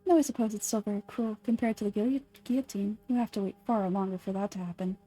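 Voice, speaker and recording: A woman voicing a calm adult nurse in an anime fan dub, speaking in a soft, measured, lecturing way as she dwells on gruesome details. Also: medium pitch, close to an everyday voice. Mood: lecturing calmly. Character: calm adult female nurse relishing grisly history.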